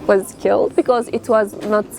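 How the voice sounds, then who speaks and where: A young woman from Syria, telling her family's story in an interview, halting and full of fillers. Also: mid-range voice, steady tone, creaky, warm tone, faint music behind. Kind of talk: personal storytelling.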